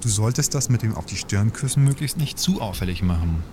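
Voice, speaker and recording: A man's voice: fairly low pitch, animated, brisk, background noise, lightly acted. A man acting a skeptical companion in a satirical radio play, speaking in a dry, understated, ironic way. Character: dry, skeptical male companion of a snobbish woman.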